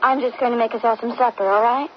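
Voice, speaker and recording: A woman's voice: fairly high pitch, steady tone, fast, natural voice. A young woman acting an earnest, grieving daughter in an old-time radio drama, with emotive, theatrical delivery. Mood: subdued, concerned. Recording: dull, very noisy old radio broadcast.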